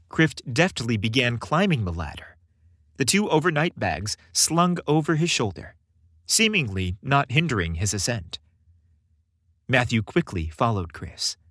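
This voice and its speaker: Expressive man narrating a story, dry studio. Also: mid-range voice, no room sound. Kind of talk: scripted story narration, expressive audiobook-like delivery.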